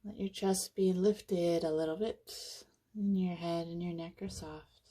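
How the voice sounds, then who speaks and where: A woman leading a guided meditation in an informal, conversational way, with soothing, casual phrasing and frequent pauses. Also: lowish voice, steady tone, warm tone.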